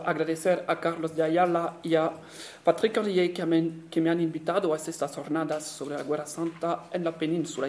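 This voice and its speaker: A man's voice: sits high, a little mellow. A man with an Italian accent giving an academic conference lecture as a historian, speaking carefully and correcting himself often. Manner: careful, halting delivery with self-corrections and fillers.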